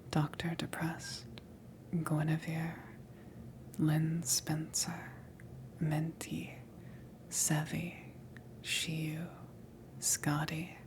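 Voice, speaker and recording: A woman who makes ASMR videos, softly whispering credits in a measured way. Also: sits low, noisy background.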